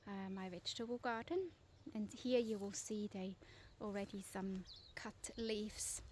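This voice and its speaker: A woman's voice: medium pitch, measured pace, background noise. Chatty Swiss-accented woman outdoors. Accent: Swiss German. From Switzerland. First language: Swiss German. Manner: chatty, informal, with frequent fillers and restarts. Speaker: vlogger, lifestyle property owner. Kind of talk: casual garden tour vlog. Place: outdoors in a garden.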